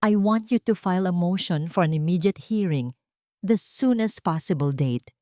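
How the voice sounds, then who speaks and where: A woman voicing a calm, steely male billionaire who gives deliberate, commanding orders in a dramatic story. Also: lowish voice, no room sound, studio quality, lightly acted. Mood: cold resolve.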